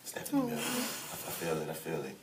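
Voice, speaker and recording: Laid-back New radio host, low voice. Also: male voice, wildly swooping pitch, fairly quick, distant mic, slight background noise. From New Orleans. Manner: laid-back, slangy, laughs often. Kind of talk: casual radio banter.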